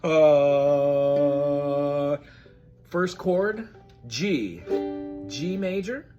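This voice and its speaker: A man's voice: on the high side, extremely slow, lo-fi sound, faint music behind. A man teaching a casual ukulele lesson, talking through chords in a friendly, chatty way and singing along. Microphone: recorded alongside his own ukulele playing. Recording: ukulele loud under voice.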